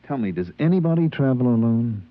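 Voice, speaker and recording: An actor playing an uneasy, intimidated spaceship purser in a 1950s radio drama, an earnest, decent fellow who speaks with nervous hesitation. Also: male voice, medium pitch, very animated, a little fast, no echo, lightly acted. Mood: troubled, curious. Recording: muffled old radio recording, clear hiss.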